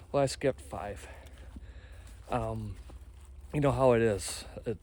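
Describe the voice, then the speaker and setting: A man talking casually to his vlog audience in a candid, halting way, often correcting himself as he rambles through updates. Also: medium pitch, a little mellow, a little hiss or hum. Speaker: amateur radio hobby vlogger.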